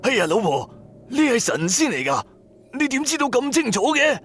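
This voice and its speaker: A man's voice: very high-pitched, big pitch swings, normal speed, fairly bright tone, soft background music, voice pushed up, full character voice. A man voicing a shifty, boastful husband with an evasive, exclamatory manner in a Cantonese radio ghost story. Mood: astonished. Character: shifty, boastful husband, a newly rich builder hiding a secret.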